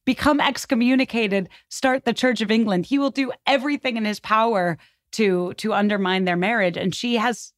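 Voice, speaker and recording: A woman's voice: on the high side, moderate pace, no room sound, clean studio sound. A woman guest talking at length in an emphatic, rambling, conversational way, as if telling a story from history. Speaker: podcast guest.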